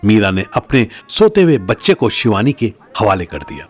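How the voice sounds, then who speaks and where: A man's voice: fairly low pitch, wildly swooping pitch, moderate pace. A man narrating a scripted audio drama as a storyteller, in a dramatic style.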